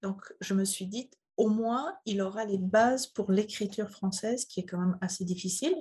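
Husky-voiced middle-aged woman, hesitant. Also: mid-range voice, normal speed, a little mellow. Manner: thoughtful, hesitant, many fillers. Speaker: interviewee, mother. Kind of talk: casual interview.

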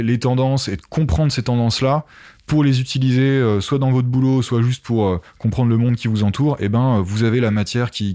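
A man's voice: medium pitch, darkish tone. A man talking casually in an interview as a podcaster, explaining his ideas conversationally with asides to the listener.

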